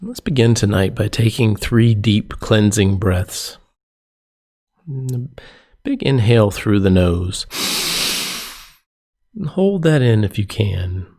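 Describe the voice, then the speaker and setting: Slow, creaky-voiced man guiding meditation. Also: fairly low pitch, animated. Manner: gentle, unhurried, soothing instruction with pauses. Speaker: yoga nidra meditation teacher. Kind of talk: guided meditation.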